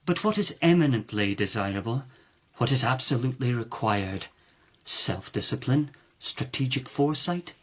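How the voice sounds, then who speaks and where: An actor playing a calculating, authoritative lord of the palace in a radio drama, speaking in a formal, measured, oratorical way. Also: male voice, mid-range voice, lively intonation, close to an everyday voice. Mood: disdainful.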